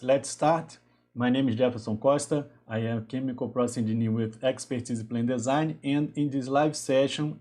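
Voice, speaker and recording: A man in his thirties from Brazil with a Brazilian Portuguese accent, talking casually on a live stream in a halting way with frequent restarts. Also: medium pitch, darkish tone. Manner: halting, restarts often, greets viewers. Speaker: engineer hosting a live stream.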